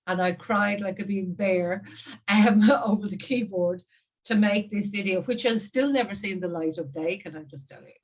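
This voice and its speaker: Irish woman, 50s, interview guest, lively chatty storyteller who laughs a lot. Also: mid-range voice, moderate pace. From Ireland. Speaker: guest marketing strategist. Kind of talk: casual interview talk.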